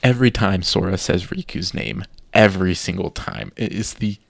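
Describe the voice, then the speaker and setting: A man co-hosting a podcast in casual chat, giving opinions with halting restarts. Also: deep voice, creaky, no echo. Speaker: podcast co-host.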